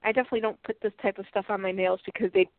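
Woman, stuffed-up nose, casual product chat, reads labels aloud, halting restarts, tinny phone-like sound. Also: medium pitch, steady tone, no echo. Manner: chatty, halting restarts, light laughs. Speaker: co-host reviewing products. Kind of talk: casual unboxing chat, reading package text aloud. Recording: tinny phone-like line, dry.

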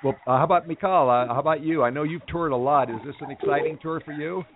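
A man hosting a radio show, reading a sponsor spot and interviewing guests, often pausing and restarting with fillers. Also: fairly high pitch, noisy background. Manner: halting, many fillers and restarts. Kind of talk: live radio interview and ad read. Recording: dry, phone-like lo-fi sound.

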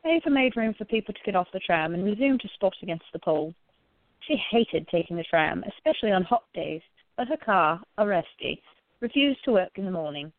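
A woman's voice: medium pitch, expressive, brisk, no echo. A woman reading scripted story narration for an audio drama. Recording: narrow phone-like sound.

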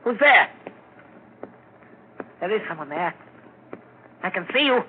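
A man's voice: high voice, big pitch swings, slow, exaggerated voice. An actor playing a terrified, pleading male victim in 1940s radio horror style. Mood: panicked. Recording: muffled, noisy 1940s radio recording.

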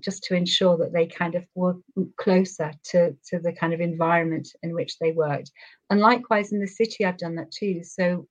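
A woman's voice: fairly low pitch, muffled recording. A woman with a London accent, a guest chatting earnestly and at length in an interview over a call. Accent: London English.

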